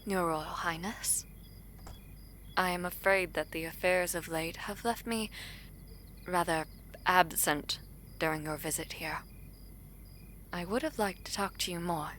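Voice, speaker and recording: A woman's voice: on the low side, unhurried, pauses often, fairly bright tone, a little hiss or hum. A woman playing a guarded, protective royal in an audio drama, with a grave, stately and formal delivery. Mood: courteous, reserved. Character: guarded, regal imperial sibling, protective of a younger brother.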